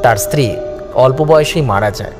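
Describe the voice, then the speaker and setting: A man's voice: medium pitch, moderate pace. A man narrating a first-person horror story in a suspenseful, theatrical style. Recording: music behind the voice. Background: background music.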